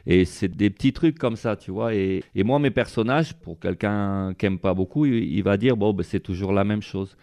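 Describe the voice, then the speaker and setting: A man's voice: lowish voice, normal speed, hardly any pauses, a little mellow. A man being interviewed as a street artist, talking casually and rambling with many fillers. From France.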